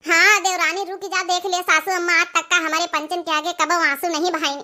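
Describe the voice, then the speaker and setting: An outspoken, moralising village sister-in-law played by a woman in an extremely high, bright cartoon voice. Her Awadhi-accented talk is earnest, dramatic and repetitive. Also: little pitch movement, brisk, heavily acted. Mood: anxious pleading. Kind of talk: comic village cartoon dialogue.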